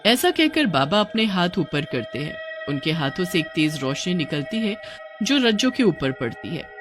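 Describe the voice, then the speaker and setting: Female storyteller, scripted and dramatic, lively intonation over a light music bed. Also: fairly low pitch, moderate pace. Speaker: narrator.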